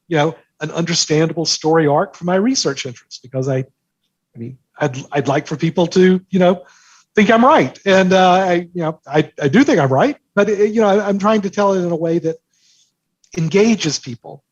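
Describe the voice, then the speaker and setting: A man with a fairly high, husky voice speaks as an interview guest in an explanatory, conversational way. He drives points home by repeating phrases, and the sound is slightly dull and dry. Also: moderate pace, no room sound. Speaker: interview guest, markets newsletter author.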